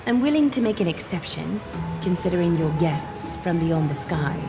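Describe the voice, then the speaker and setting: Composed, noble leader over music. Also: female voice, fairly low pitch, animated. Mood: formal, courteous. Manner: formal, measured, gently authoritative. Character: composed, noble female leader, courteous and quietly commanding. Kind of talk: fantasy game voice acting.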